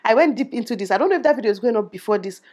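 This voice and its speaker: A young woman from Nigeria with a Nigerian accent, speaking in a small but loud voice, giving her opinions in a casual vlog with animated delivery. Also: on the high side, very fast. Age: young adult. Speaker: YouTuber. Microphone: lavalier.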